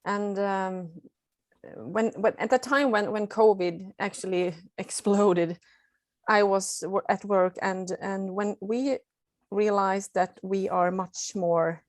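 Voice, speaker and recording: A woman's voice: medium pitch, fairly even intonation, slow, dry recording, clean studio sound. A woman with a Swedish accent, a nurse and non-native English speaker, answering interview questions hesitantly while searching for words. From Sweden. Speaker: nurse guest.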